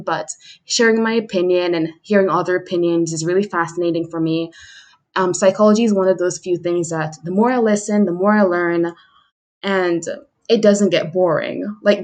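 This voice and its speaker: A young adult woman chatting casually and self-deprecatingly, as a livestream host introducing herself. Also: lowish voice. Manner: self-deprecating, chuckles while talking. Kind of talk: casual chat monologue.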